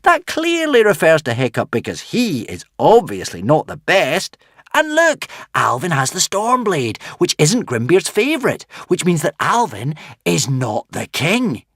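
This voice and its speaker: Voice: mid-range voice, wildly swooping pitch, measured pace, a bit bright, no echo, clean studio sound, pitched above the performer's own voice, exaggerated voice. A narrator voicing easily impressed, gossipy Viking villagers who muse and marvel. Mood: musing.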